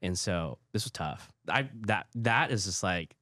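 A man's voice: deep voice, animated, a little slow, bright, forceful tone, dry recording, studio quality. A young man from Hawaii, co-hosting a podcast and telling personal stories candidly with lots of "like.". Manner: candid, filler-heavy storytelling with frequent "like". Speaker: podcast co-host. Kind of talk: casual chat.

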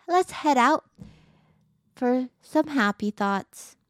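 A woman's voice: high voice, lively intonation, takes it slowly, a bit bright, no room sound, studio quality. Upbeat teen girl podcaster, a bit tired. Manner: bubbly, upbeat, lots of "like" fillers. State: a bit tired, voice lower than usual. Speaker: podcast host. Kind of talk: casual solo podcast chat.

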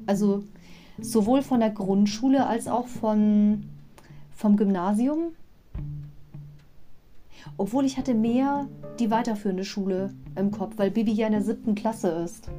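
A woman's voice: mid-range voice, measured pace, long pauses, warm tone, faint music behind. A woman hosting a podcast, casually retelling a story with chatty, digressive commentary. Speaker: podcast host.

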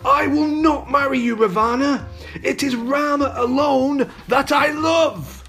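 A man as a high-voiced storybook princess. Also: slow pace, soft background music. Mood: defiant. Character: gentle princess, young wife, pleading yet defiant. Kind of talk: children's storybook narration.

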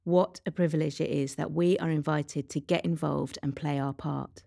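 Low-voiced woman reading a devotional, mellow tone. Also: fairly even intonation, normal speed, creaky, no echo, clean studio sound. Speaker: devotional reader. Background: faint music.

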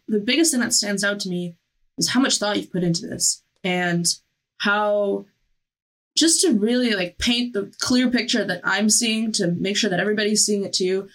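A woman's voice: mid-range voice, a bit bright, low-quality recording. A woman hosting an interview, talking in a casual, encouraging and affirming way. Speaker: podcast host interviewing applicants.

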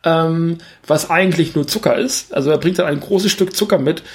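A man's voice: on the high side, normal speed. A man co-hosting a casual film review podcast, talking like an enthusiastic fan who often stops to correct himself. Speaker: podcast co-host reviewing films.

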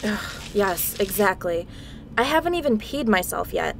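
A woman's voice: medium pitch, sing-song, vocal fry, a little hiss or hum, low-quality recording. A woman playing an irritable, skeptical adventurer heroine in a comedic video game parody, exasperated and sarcastic. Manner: exasperated, drawn-out sarcastic complaining.

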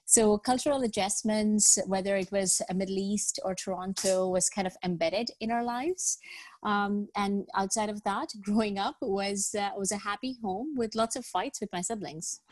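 A woman's voice: mid-range voice, normal speed, darkish tone, no echo. A middle-aged woman from Bangladesh, raised in the UAE, answering questions as a podcast guest. She speaks thoughtfully, with many fillers and repeated words. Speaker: guest, business professional. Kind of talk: podcast interview.

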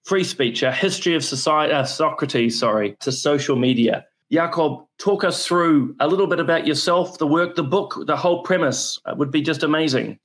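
A man's voice: fairly high pitch, moderate pace, hardly any pauses, a bit bright. A man with a New Zealand accent hosting an interview, introducing his guest with effusive enthusiasm. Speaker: podcast host.

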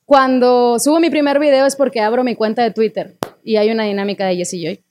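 A woman's voice: fairly high pitch, fairly even intonation, fairly quick, a little mellow, a little hiss or hum. A young woman with a Mexican accent, a singer telling her story as a guest in a casual interview, full of fillers. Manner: chatty storytelling, many fillers like "o sea" and "¿no?". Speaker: singer and online creator, guest.

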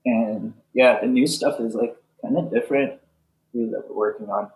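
A young man from Canada, a band member answering interview questions in soft, halting casual talk full of fillers. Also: mid-range voice, steady tone. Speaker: band leader, interview guest. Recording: echoey room.

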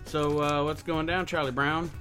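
A man's voice: fairly high pitch, little pitch movement, normal speed, bright, forceful tone. Man co-hosting with dry interjections, faint music. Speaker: podcast co-host. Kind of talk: casual banter.

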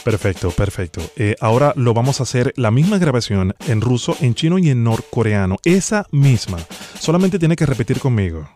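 A man on a prank phone call playing a stern, bureaucratic immigration official, speaking formally and gravely. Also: mid-range voice, sing-song, brisk, mellow, soft background music, close to an everyday voice. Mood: formal, instructing. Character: stern male immigration official reading a deportation order. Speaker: prank caller.

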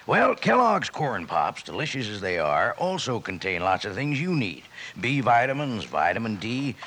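A man's voice: medium pitch, gravelly, fairly bright tone. A man announcing a 1950s radio commercial in a folksy, enthusiastic salesman style. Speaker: announcer reading cereal advertisement. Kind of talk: 1950s radio advertising pitch.

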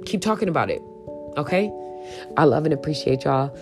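A woman podcast host with a raspy voice, talking casually and emphatically, repeating key points. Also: deep voice, big pitch swings, brisk, music in the background. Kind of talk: casual solo podcast talk.

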